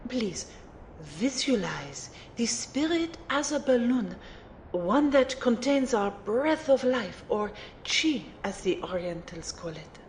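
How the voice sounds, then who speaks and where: A woman's voice: on the high side, big pitch swings, takes it slowly, a little hiss or hum. A woman playing a haughty but fond mage mentor in an audio drama, with a condescending, theatrical manner. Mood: lecturing. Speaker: voice actor in an amateur audio drama. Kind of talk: acted dialogue in an audio drama.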